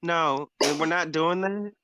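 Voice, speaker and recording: A man's voice: on the high side, unhurried, a crowd in the background. A man arguing in a heated, casual panel debate with a sassy, emphatic manner. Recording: slightly muffled audio.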